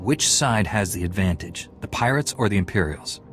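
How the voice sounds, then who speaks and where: Deepish, confident Jedi hero, played by a man. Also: animated, moderate pace, close to an everyday voice. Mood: assured. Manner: assured, direct, courteous. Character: calm, confident heroic young Jedi knight. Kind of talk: video game acting. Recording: music behind the voice.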